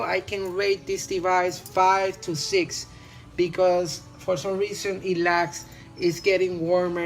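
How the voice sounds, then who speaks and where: A man whose first language is Spanish, speaking English with a Spanish accent as he casually reviews a phone in a rambling voice-over full of "you know". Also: a little high. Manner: rambling, filler-heavy, repeats "you know". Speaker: tech YouTuber.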